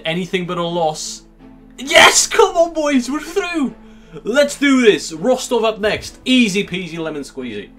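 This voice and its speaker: Belgian dutch-accented young guy chatting over his footy sim, slightly high voice, music playing behind. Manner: upbeat, chatty, swings between excitement and gloom. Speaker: gaming YouTuber. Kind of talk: game commentary over simulation.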